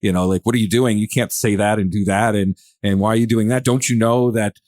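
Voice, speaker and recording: A man's voice: on the low side, fairly even intonation, fairly quick, a little mellow, no echo, clean studio sound. A man hosting a podcast in casual discussion, rambling and searching for words as he thinks aloud. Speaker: podcast host.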